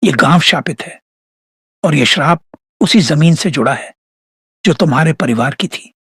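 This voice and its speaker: A man playing a grave old village priest in a horror story, with a rough, husky voice and an ominous manner. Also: on the high side, animated, moderate pace, fairly bright tone, no room sound, clean studio sound, heavily acted. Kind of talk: dramatized horror story dialogue.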